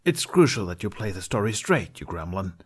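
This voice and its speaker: A man's voice: on the low side, very animated, fairly quick, husky. A man voicing an uptight, protective, grumbling male performer in a storybook, with a scolding manner. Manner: scolding, exasperated.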